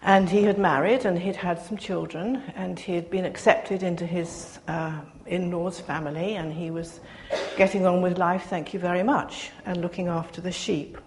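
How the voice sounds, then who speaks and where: An older woman giving a soft-spoken, reflective personal sermon to her congregation, pausing often as she speaks. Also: on the low side, fairly even intonation, a little hiss or hum. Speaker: preacher.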